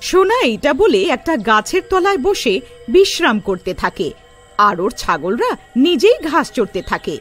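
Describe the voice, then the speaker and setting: High-voiced, animated woman storyteller. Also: normal speed, over music. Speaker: narrator. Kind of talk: scripted children's story narration.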